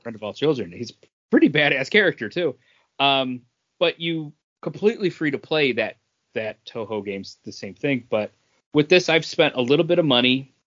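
Mid-pitched man in casual gaming chat. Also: animated, normal speed, fairly bright tone, highs cut off. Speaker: podcast co-host.

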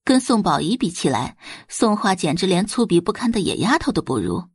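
A woman narrates an audio drama from a script. Her delivery is expressive, with a widely moving pitch and a slightly husky tone, recorded very dry. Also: fairly quick, dry recording.

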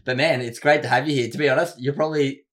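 A man's voice: mid-range voice, steady tone, quick pace, fairly bright tone. A young Australian man hosting a casual podcast from his bedroom studio, upbeat and chatty with slangy asides. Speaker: podcast host.

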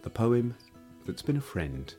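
A man's voice: mid-range voice, big pitch swings, unhurried, loud music behind the voice. A man hosting a podcast, introducing a guest's conversation as scripted narration. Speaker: podcast host and interviewer. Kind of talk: podcast introduction and gentle interviewing.

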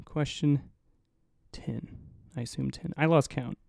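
A young man hosting a solo podcast in a low, mumbly voice, rambling and swearing casually, right up on the mic. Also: fairly even intonation, a little slow, creaky, a little mellow, no echo, studio quality. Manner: rambling, profane, self-mocking. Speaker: podcast host. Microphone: close to the mic.

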